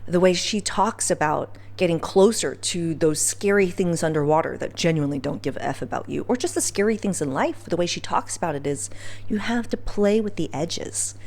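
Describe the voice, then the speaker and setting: A woman's voice: on the low side, normal speed, creaky, darkish tone. Casual, candid woman podcast host. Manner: candid, informal, slangy, emphatic. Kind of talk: casual personal storytelling podcast.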